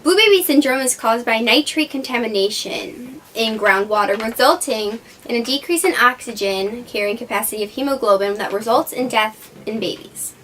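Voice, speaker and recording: Bright, high-voiced woman reading news. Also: moderate pace, a little hiss or hum, rough recording. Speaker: mock weather and news reporter. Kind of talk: reading a school news report.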